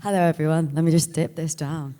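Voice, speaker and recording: A woman with a husky voice, giving a candid, joking, off-the-cuff acceptance speech. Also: low voice, steady tone, a little fast, dark tone. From Rhyl, North Wales. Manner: candid, joking, breathless rambling. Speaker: award winner, writer and performer. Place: stage at an awards ceremony in a hall. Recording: echoey hall with long reverb.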